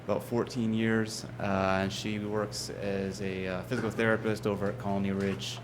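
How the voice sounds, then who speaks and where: Low-voiced man at a town meeting. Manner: relaxed, with frequent "uh" pauses. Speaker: ocean rescue supervisor introducing lifeguards. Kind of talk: informal remarks at a town board meeting. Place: town hall meeting chamber. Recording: town meeting recording with background noise.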